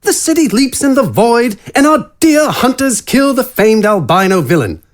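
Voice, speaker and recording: A man playing a pompous, mockingly polite gentleman rogue with florid, theatrical manners. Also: very high voice, sing-song, moderate pace, pitched above the performer's own voice. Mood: breezy, mocking.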